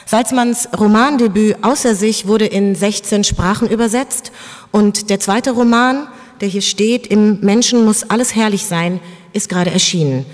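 A woman gives a measured, formal introduction of the next speaker. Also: medium pitch. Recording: long hall reverb.

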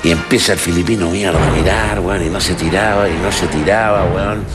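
A man's voice: deep voice, brisk. An older man with a Chilean accent telling a crude joke on a TV stage, swearing constantly and putting on voices for the people in his story. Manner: profane, animated storytelling with voiced quotes. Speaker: stand-up comedian. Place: TV studio stage. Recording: loud background music.

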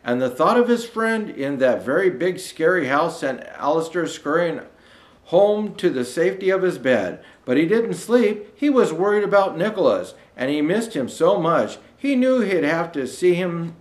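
A man's voice: a little high, sing-song, moderate pace. An older man reading a children's storybook aloud in a folksy way, speaking directly to young listeners as a Santa storyteller. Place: small indoor decorated studio set.

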